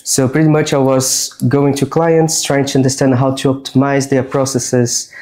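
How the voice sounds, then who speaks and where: A man in his thirties from Brazil with a Brazilian accent, talking about his design work in a relaxed, earnest way while getting a haircut. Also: mid-range voice, normal speed. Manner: relaxed, earnest, restarts words while explaining. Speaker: guest, design strategist. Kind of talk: casual chat during a haircut. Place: barbershop.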